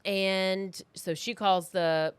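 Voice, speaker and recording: Smooth-voiced woman telling a story casually. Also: medium pitch, fairly even intonation, drawn-out words. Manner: informal, chatty. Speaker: podcast host. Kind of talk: casual true-crime storytelling.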